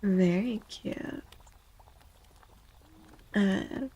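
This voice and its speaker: A soft-spoken woman chatting in a gentle, playful ASMR style as a streamer, often dropping to a whisper. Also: mid-range voice, very slow, slightly rough. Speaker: live streamer reading tarot cards for chat. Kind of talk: soft-spoken ASMR chat, greeting viewers.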